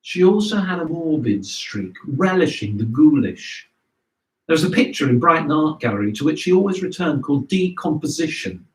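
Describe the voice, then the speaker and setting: A man's voice: fairly high pitch, very animated, moderate pace, darkish tone. Echoey, distant man reading a memoir aloud. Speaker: reader of memoirs. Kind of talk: scripted memoir reading to a live audience. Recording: roomy echo, distant microphone.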